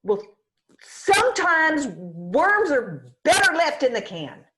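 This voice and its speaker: Woman acting a fierce mother, high and animated. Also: exaggerated voice. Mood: angry, challenging. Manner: sharp, confrontational, drawn-out emphasis. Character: fierce, scolding Southern mother confronting her runaway daughter. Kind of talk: screenplay table read acting.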